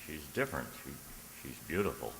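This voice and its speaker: A man's voice: a bass voice, big pitch swings, fairly quick, fairly bright tone, a little hiss or hum, heavily acted. A man acting an earnest, nervous poet in a radio drama, intense and given to stammering as he tells his tale. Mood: troubled. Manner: intense, stammering when spoken to. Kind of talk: dramatic first-person radio narration and acting. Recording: echoing reverberant hall sound.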